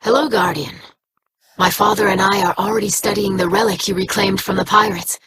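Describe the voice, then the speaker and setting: A woman plays a studious young alien girl with a formal, precise way of speaking, earnest and a little stiff. The voice sits in a middle register. A clear layer of background noise runs under the recording. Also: medium pitch, fairly even intonation, brisk, lightly acted. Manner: formal, stiff, scholarly diction.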